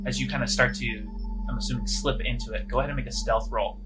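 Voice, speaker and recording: A man's voice: medium pitch, steady tone, fast. Man, mid-range voice, game master, improvised descriptive narration, tabletop role-playing, prominent background music. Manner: descriptive, improvised scene-setting.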